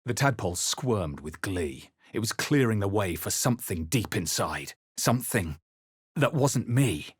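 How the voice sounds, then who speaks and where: A man playing a brooding adventurer who narrates a fantasy video game in the first person, solemn, deliberate and literary. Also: fairly low pitch, very animated, husky, no echo, studio quality, exaggerated voice. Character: brooding male adventurer narrating his own dark memories. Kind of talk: dramatic scripted fantasy narration.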